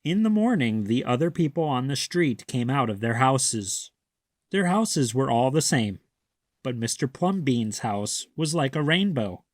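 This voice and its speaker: A man reading a children's story aloud with deliberate, expressive emphasis. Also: mid-range voice, no echo, studio quality. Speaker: storybook reader. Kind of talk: children's read-aloud narration.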